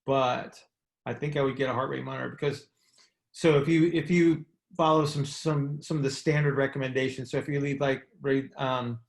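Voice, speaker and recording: Male coach, fry-edged voice, explanatory and conversational, often checking understanding; roomy, echoing sound. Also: medium pitch, fairly even intonation, moderate pace. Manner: explanatory, checks in with rhetorical questions. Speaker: coach and mentor hosting a group call. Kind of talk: conversational expert advice. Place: indoor room, on a conference call.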